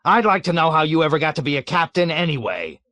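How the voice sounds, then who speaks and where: A man voicing a petulant, self-righteous male colonel who shouts in high, whiny bursts. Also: big pitch swings, moderate pace, no echo. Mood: blustering wrath. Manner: shrill, whiny, shouting outbursts.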